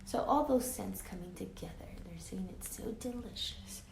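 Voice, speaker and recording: A woman reading a children's picture book aloud expressively, with dramatic emphasis and hushed asides, pausing to question young listeners like a teacher. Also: medium pitch, creaky, whispered. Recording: roomy echo with faint background noise.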